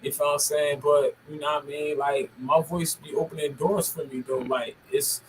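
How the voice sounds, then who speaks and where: An American woman from Camden with a deep voice, chatting casually as an interview guest in a bossy, assertive manner with heavy swearing. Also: fairly even intonation, normal speed, a little mellow, a little hiss or hum, poor audio. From Camden, United States. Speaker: podcast guest, music artist.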